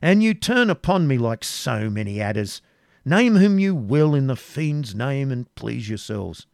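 A man's voice: medium pitch, big pitch swings, a little slow, creaky, a little mellow, no echo, studio quality, voice pushed up. A man voicing a haughty, petulant prince in a storybook reading, with a pompous, emphatic delivery. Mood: haughty, irritated.

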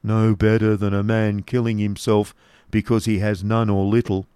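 Man acting a gloomy, snarling phantom, slow and grim. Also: on the low side, flat delivery, warm tone, smooth, no room sound, clean studio sound, close to an everyday voice. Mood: snarling, petulant. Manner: grim, snarling, drawn-out delivery. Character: gloomy, petulant male apparition urging despair. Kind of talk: dramatized storybook reading.

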